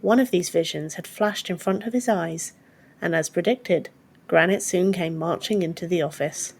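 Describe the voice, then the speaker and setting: A woman narrates a fantasy audiobook in a fairly low register. The delivery is scripted storytelling, read as the narrator of the tale. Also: normal speed, slightly rough.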